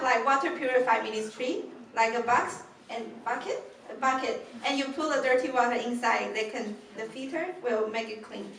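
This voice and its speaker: A woman's voice: high voice, pushed and bright. A woman from Taiwan, a ship crew volunteer, speaking in simple phrasing to a church. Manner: simple phrasing, light laughs between points. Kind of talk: church presentation. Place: church hall. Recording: echoey hall, mic far off, faint noise.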